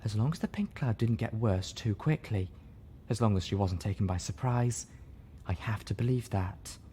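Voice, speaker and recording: Soft, sorrowful female pony with a caring streak, for a fantasy tale; male reader. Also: on the low side, normal speed, a little hiss or hum, lightly acted. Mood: sorrowful, clinging hope. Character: refined female pony, sorrowful, caring, sharp when hurt.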